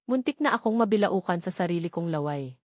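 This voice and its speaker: A woman's voice: on the low side, lively intonation, fairly quick, no room sound, studio quality. A woman with a Filipino accent reading a dramatic first-person novel as an audiobook narrator.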